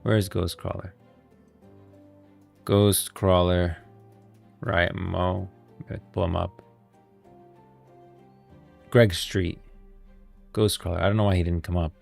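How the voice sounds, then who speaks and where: A man hosting a casual gaming livestream, chatting informally, thinking aloud in a halting way and repeating phrases. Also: low voice, little pitch movement, creaky, soft background music. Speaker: streamer, host of a gaming lore stream. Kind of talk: casual livestream chat, reading posts aloud.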